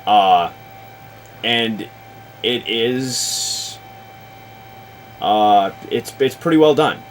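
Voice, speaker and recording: A man talking casually about tabletop games in a deliberate, halting, explanatory way, as if advising another player. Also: mid-range voice, animated, a little hiss or hum, poor audio. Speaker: show host, experienced game master advising.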